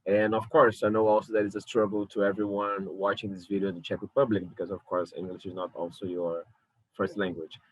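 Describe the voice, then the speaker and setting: A man's voice: lowish voice, level tone, brisk, poor audio. A man in his thirties from Brazil with a Brazilian Portuguese accent, speaking English as a second language in a recorded video talk from his apartment, thoughtful and often correcting himself. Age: 30s. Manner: thoughtful, self-correcting, frequent hesitations. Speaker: curator and lecturer. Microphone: camera mic.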